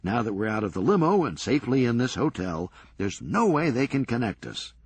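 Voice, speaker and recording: A man voicing a cool-headed, reckless ringleader in a crime story, brisk and unbothered. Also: mid-range voice, very animated, breathy edge, no echo, voice pushed up. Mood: reassuring. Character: unflappable, cheerfully reckless male ringleader.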